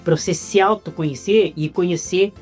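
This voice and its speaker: A woman's voice: fairly low pitch, moderate pace, a little mellow, faint music behind. A woman with a Brazilian Portuguese accent hosting an interview in a talkative, casual way. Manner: talkative, lists ideas at length. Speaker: interview host.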